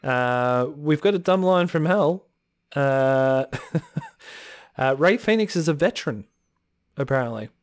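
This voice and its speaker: Male podcast co-host, slightly hoarse, exasperated casual ranting with sighs, dry close mic. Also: a little high, expressive, slow, pauses often, no echo. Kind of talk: casual chat.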